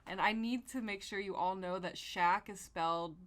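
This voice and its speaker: Expressive woman reading fan fiction aloud. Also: mid-range voice, moderate pace. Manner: expressive, foul-mouthed. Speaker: podcast host.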